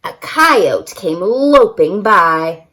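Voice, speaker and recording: A woman's voice: medium pitch, lively intonation, extremely slow, sharp tone. A woman reading a picture book aloud expressively for young children, as a story-time reader.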